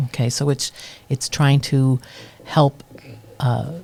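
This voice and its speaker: A woman's voice: rumbling low, level tone, takes it slowly, gravelly, darkish tone. A middle-aged woman from New Hampshire, a committee chair, answering interview questions casually and thoughtfully, with many ums and restarts.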